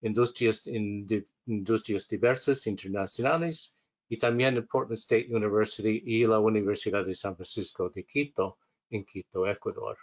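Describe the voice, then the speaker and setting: Low-voiced man, hesitant. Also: steady tone, moderate pace. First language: English. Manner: hesitant, self-correcting, reading from notes. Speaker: instructor. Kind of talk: webinar lecture.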